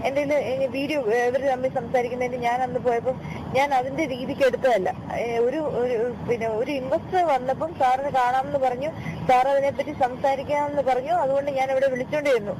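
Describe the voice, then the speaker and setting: A woman's voice: high voice, fairly even intonation, quick pace. A woman arguing insistently on a phone call, repeating her phrases in a pleading, complaining way. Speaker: caller. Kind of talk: tense, argumentative phone conversation. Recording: noisy phone call recording, vehicle sounds.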